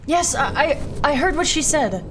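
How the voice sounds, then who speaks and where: A woman's voice: high voice, background noise, exaggerated voice. A woman playing a hurting, self-loathing but defiant young outcast daughter in an audio roleplay, speaking in an emotional, pleading way. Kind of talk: naturalistic audio roleplay acting.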